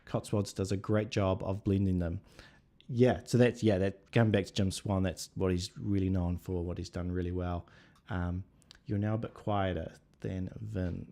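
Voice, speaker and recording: Laid-back New Zealand man, low voice. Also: normal speed. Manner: relaxed, thinking aloud with many fillers, reading viewer comments. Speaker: livestream host. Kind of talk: casual live chat.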